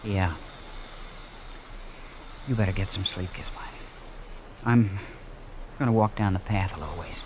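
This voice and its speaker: An actor playing a young man caught in danger, in dramatic old radio style, sometimes narrating his ordeal in hushed suspense. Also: sits low, unhurried, long pauses. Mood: weary, grave. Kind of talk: 1940s radio drama acting, suspenseful first-person narration. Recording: noisy old radio broadcast.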